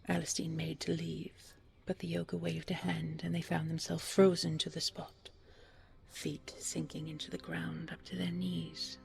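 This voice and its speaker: A woman narrating a scripted bedtime fairy tale in a soft, breathy, soothing and unhurried way, as a storyteller. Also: low voice, level tone.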